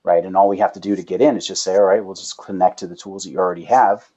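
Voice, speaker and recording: Male founder as interview guest, low slightly rough voice, explanatory, checks in with rhetorical questions, muffled lo-fi sound. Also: steady tone, fast, mellow. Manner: uses rhetorical questions and tag "right?". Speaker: startup founder and chief executive, guest.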